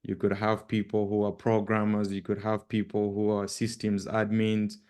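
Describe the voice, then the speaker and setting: A man with a Zambian accent giving an informal presentation as a guest speaker, speaking haltingly with repeated words and frequent pauses. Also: lowish voice, monotone, darkish tone, clear, resonant tone. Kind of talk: informal presentation with personal introduction.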